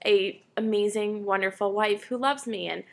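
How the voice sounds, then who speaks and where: A woman's voice: medium pitch, steady tone, moderate pace, gravelly. A woman in her late twenties, a tired vlogger chatting casually and self-deprecatingly to camera. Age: 20s.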